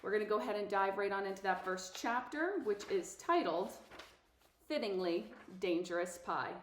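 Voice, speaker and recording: A woman's voice: mid-range voice, animated, moderate pace, reverberant, a little hiss or hum. A woman hosting a read-aloud, introducing and reading from a children's novel for her listeners. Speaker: host of a book read-aloud channel.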